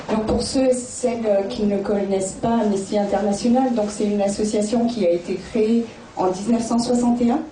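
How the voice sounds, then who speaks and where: A woman's voice: medium pitch, warm tone, a little hiss or hum. A woman introducing an event to an audience, speaking hesitantly with frequent fillers. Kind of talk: public introduction speech. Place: bookstore event room. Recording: echoey room, far from the mic.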